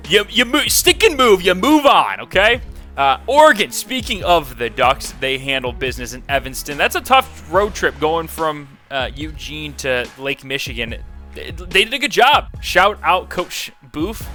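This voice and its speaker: A young man hosting an online video game football league, recapping the week's scores in an energetic, joking way with quick restarts. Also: fairly high pitch.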